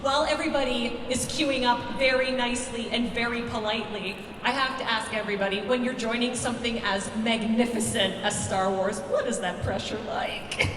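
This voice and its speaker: A woman's voice: fairly high pitch, fairly even intonation, a little fast, hardly any pauses. A woman moderating a convention panel, calling on fans in the queue with an upbeat, polite manner. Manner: upbeat, directing the audience politely. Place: large convention hall stage. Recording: echoey hall from far off, noisy.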